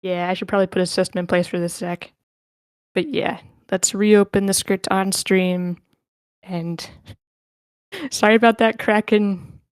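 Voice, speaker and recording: A woman with a clear, smooth voice hosts an online read-through. She talks casually and informally, apologetic in manner. The sound is dry and close, with no room around it. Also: mid-range voice, fairly even intonation, unhurried, no echo. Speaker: host of an online screenplay table read. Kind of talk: casual online meeting chat.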